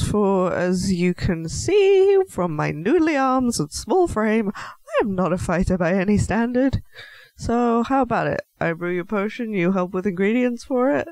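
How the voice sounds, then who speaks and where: Casting: man voicing a small, nervous male half-elf shopkeeper, high voice, swooping delivery, flustered and stammering, fantasy audio roleplay. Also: darkish tone, dry recording, poor audio. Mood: anxious, bargaining. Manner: flustered, stammering, apologetic, politely chatty. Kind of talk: scripted fantasy audio roleplay addressed to the listener.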